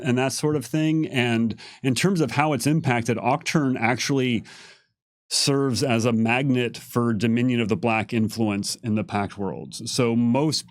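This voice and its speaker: A man's voice: medium pitch, dry recording, clean studio sound. A man casually explaining fictional lore as a game developer, unscripted, with emphatic stresses on key words. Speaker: game developer answering lore questions on a live stream.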